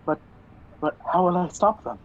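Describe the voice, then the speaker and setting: A man playing a polite, earnest gentleman hero in an old-time radio play. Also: sits high, moderate pace. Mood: alarmed. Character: gentlemanly male hero caught in a spy intrigue. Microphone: video call. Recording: dull, noisy video call audio.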